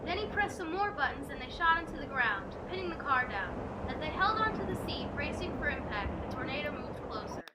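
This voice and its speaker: A woman's voice: high-pitched, brisk, hardly any pauses. A woman reading a scripted story aloud as narrator. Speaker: story reader. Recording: loud steady noise behind the voice.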